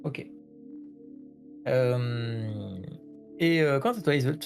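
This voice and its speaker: A man narrating a tabletop role-playing game as game master, pausing often with fillers between phrases. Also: mid-range voice, lively intonation, gravelly, over music.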